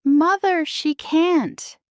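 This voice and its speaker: A woman's voice: extremely high pitch, drawn-out words, no echo, studio quality, pitched above the performer's own voice, full character voice. A woman voicing a spoiled, spiteful young stepsister in a storybook, whiny and mocking. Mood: whining outrage. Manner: cackling, whiny.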